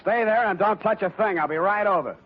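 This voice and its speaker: An actor playing a hard-boiled, wisecracking private detective in terse, deadpan 1940s radio style. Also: male voice, sits high, a little fast, natural voice. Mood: wry. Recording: dull 1940s radio recording, background music.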